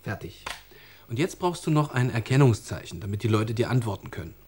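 A man's voice: medium pitch, lively intonation, brisk, a little mellow, breathy edge. A man playing a busy, mildly baffled but indulgent father in a children's radio play.

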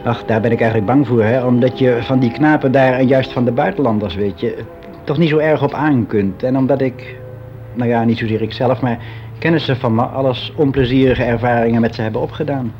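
A dull, lo-fi radio play recording of a man acting a lonely male office clerk of sixty. He talks candidly and haltingly in a mid-pitched voice, correcting himself as he confides his timid regrets. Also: fairly even intonation, soft background music, lightly acted. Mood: uneasy, confiding. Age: 60s. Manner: halting, confiding, colloquial, with hesitant self-corrections. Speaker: actor performing a radio monologue. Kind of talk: conversational radio drama monologue.

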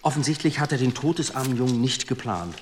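An actor playing a shrewd, deferential senior police commissioner in a radio drama, measured, formal and dryly witty. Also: male voice, medium pitch, expressive, background noise, lightly acted.

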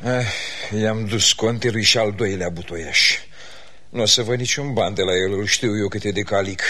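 An actor playing a grumbling, lovestruck tavern keeper in a theatrical radio comedy, thinking aloud and calling out. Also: male voice, fairly low pitch, pushed and bright. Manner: muttering to himself, then calling out.

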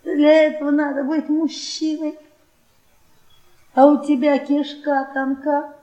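An actress playing an embittered, seductive middle-aged professor's wife, mocking and domineering in a theatrical radio-play manner. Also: female voice, sits high, level tone, slow, dark tone. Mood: defiant. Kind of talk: Soviet radio theatre acting. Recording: roomy echo, off the mic.

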